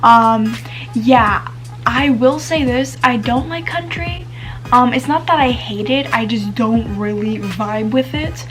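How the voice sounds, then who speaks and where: A woman's voice: fairly high pitch, slow pace, music in the background. A teenage girl vlogging casually to camera, chatty and full of fillers, whose first language is Spanish. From United States. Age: teens.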